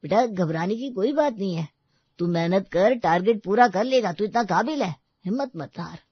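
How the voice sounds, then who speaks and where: Casting: woman as patient elderly grandfather, storybook drama, mild manner, medium pitch, dry sound. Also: lively intonation, normal speed, husky, no echo, muffled recording. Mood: reassuring. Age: older. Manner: mild, long-suffering, reassuring. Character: gentle, patient old grandfather.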